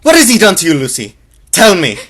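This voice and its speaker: A man's voice: high voice, wildly swooping pitch, a little fast, a bit bright, exaggerated voice. A man playing an earnest, impassioned young sweetheart in a radio drama. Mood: angry demanding.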